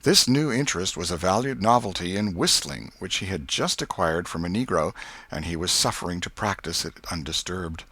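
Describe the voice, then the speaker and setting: A man reading literary narration as an audiobook narrator, in an expressive, measured storytelling manner. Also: deep voice, husky, dry recording.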